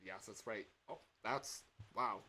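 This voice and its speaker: A man talking casually on a livestream as a game developer, thinking aloud in a hesitant, halting way. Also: fairly low pitch, bright, forceful tone, slight background noise.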